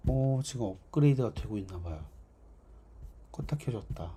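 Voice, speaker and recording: Hoarse man with a very dark tone. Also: mid-range voice, lively intonation. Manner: halting, thinking aloud. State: hoarse, strained voice from overuse. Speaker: game developer livestreaming his project. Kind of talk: casual livestream commentary over gameplay.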